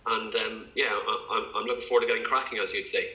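A man's voice: fairly low pitch, fairly even intonation, fast, sharp tone. A male doctor from England, a podcast guest, talking in a hesitant way with many fillers and restarts. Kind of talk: casual interview talk. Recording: echoey, dull lo-fi sound.

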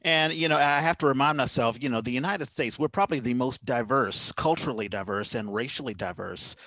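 A man's voice: medium pitch, a little fast, pushed and bright, no room sound. A man hosting a casual interview, talking in a rambling, conversational way. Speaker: podcast host. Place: indoors at home, on a video call.

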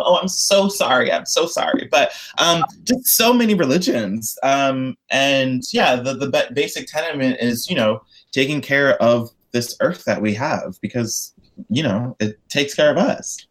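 A man's voice: medium pitch, a little slow. Earnest young American man, rambling. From United States. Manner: rambling with many fillers and restarts; earnest and expressive. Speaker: interviewee, interfaith activist. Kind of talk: casual interview conversation.

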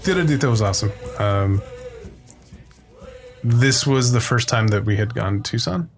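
A man's voice: medium pitch, expressive, moderate pace, creaky, soft background music. A middle-aged American man from Phoenix talking casually and unscripted as a podcast co-host, in a rambling, sweary way that piles up repeated phrases. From Phoenix, Arizona.

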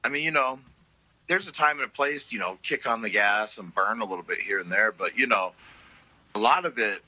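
A middle-aged man with a lowish, husky voice and a very bright, sharp edge, telling stories in a relaxed way. He leans on fillers as he talks. The sound is dry and close. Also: fairly quick, no echo, muffled recording. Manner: relaxed storytelling, many fillers. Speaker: blues musician guest. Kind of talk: casual interview chat.

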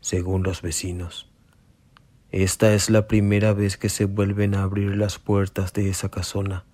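A man narrating a scripted horror radio play in a measured, suspenseful way. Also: low voice, little pitch movement, dark tone. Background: prominent music.

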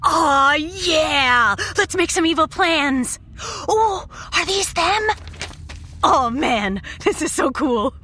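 A woman playing a brash, excitable teenage girl, a shapeshifting sidekick in an audiobook drama, cheeky and casual. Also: high voice, slow pace, slight background noise, full character voice. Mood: excited. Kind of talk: full-cast audiobook drama.

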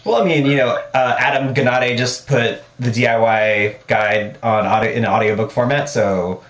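A man's voice: medium pitch, fairly even intonation, a bit bright. Man hosting a podcast, echoey room. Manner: halting questions, many restarts. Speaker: podcast host. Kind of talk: casual interview.

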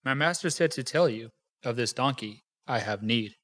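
A man's voice: medium pitch, moderate pace, fairly bright tone, close to an everyday voice. A man doing an eager, confident disciple.

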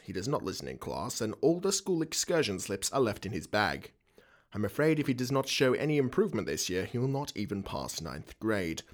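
Low, swooping creepy headmaster. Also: male voice. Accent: Australian. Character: creepy middle-aged male school headmaster, smooth-talking, secretive. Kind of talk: radio drama acting.

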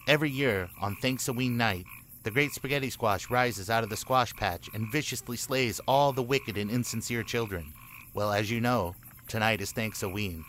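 A man acts out a nervous, aggrieved young character in a comic radio play. The voice is on the low side, indignant and exasperated, with light noise in the background. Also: a bit bright, close to an everyday voice.

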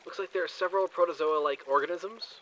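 An actor in an audio drama playing an eager, cheeky junior scientist. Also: male voice, fairly high pitch, quick pace, noisy background, lightly acted. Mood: eager, businesslike.

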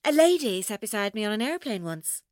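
A woman's voice: mid-range voice, sing-song, a little fast, darkish tone, dry recording, studio quality, close to an everyday voice. An actress playing a menacing, taunting woman captor in an audio drama, mocking and theatrical, shifting between playful and bitter. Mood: bitter, scornful. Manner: theatrical, mocking, mercurial, intense.

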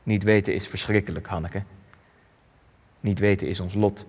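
An actor playing a weary, grave old resistance veteran in a radio play, speaking in solemn, measured phrases with long reverb. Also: male voice, low voice, fairly even intonation, darkish tone, breathy edge. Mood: solemn, searching. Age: older. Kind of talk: Dutch radio play acting.

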